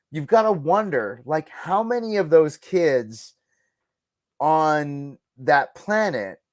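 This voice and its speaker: A man's voice: fairly high pitch, dry recording. A man talking casually as a podcast guest, giving emphatic, musing opinions peppered with "like" and "you know".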